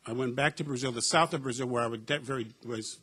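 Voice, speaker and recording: A middle-aged man from the United States speaks with a husky, slightly breathy voice at a pitch that sits in the ordinary middle range. He tells personal stories on a discussion panel in a reflective, wry way and leans on frequent uh and um fillers. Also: quick pace, a bit bright. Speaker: panelist, professor.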